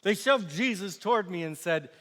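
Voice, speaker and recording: Sardonic Roman governor for stage monologue, male actor: high-pitched, forceful, scornful and exasperated, theatrical delivery. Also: moderate pace. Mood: weary, wry. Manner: animated, scornful, exasperated, swinging from quiet asides to outbursts. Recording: echoey hall with long reverb.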